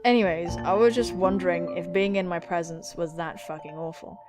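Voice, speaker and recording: A woman's voice: lowish voice, steady tone, fairly quick, pitched below the performer's own voice, close to an everyday voice. Casting: guarded, needling young woman for visual novel dialogue, read by a female player, curt delivery over music. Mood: prickly. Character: guarded, sardonic young woman, prickly but secretly insecure.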